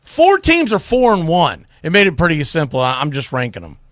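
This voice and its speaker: A male sports radio host with a slightly high voice, recorded close and dry. He gives casual, colloquial commentary with plenty of opinion, talking directly to the listener. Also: wildly swooping pitch, a little fast, fairly bright tone, no echo. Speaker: sports talk show host.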